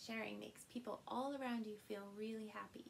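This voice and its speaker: A middle-aged woman reads a picture book aloud to small children in an expressive, playful way, recorded indoors by a camera a short distance in front of her. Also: medium pitch, gravelly, slight background noise. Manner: animated, slow, with playful sound words and exclamations. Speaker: storyteller and channel host. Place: small furnished room indoors.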